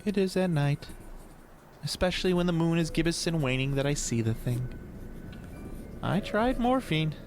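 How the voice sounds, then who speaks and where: Haunted male castaway, performed by a man, theatrical and tormented, a touch high-pitched, for audio horror with light background ambience. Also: noisy background. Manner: theatrical, anguished, ornate first-person confession. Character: haunted, morphine-addicted male shipwreck survivor recounting horrors. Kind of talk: dramatic audio horror storytelling.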